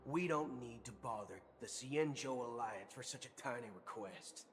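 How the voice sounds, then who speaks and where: A man's voice: mid-range voice, moderate pace, soft background music, lightly acted. A man playing a brash, swaggering galaxy ranger gunslinger in a video game, cocky and theatrical. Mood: cocky, scoffing. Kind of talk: video game character acting.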